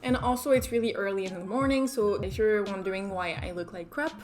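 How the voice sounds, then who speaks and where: A woman's voice: medium pitch, steady tone, fairly quick, a little mellow, soft background music. A young woman with a French Canadian accent, chatting casually through a makeup tutorial just after waking up, self-deprecating and easygoing. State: just woke up. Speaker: beauty vlogger.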